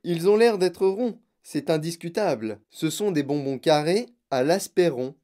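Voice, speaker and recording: A woman plays an eccentric man who makes candy, a bossy showman in a storybook. The voice is raised and swings widely in pitch. Every phrase comes out emphatic, exclaimed and insistent. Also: expressive, normal speed, warm tone, lightly acted. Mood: triumphant, excited. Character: excitable, bossy eccentric male candy maker, triumphant showman.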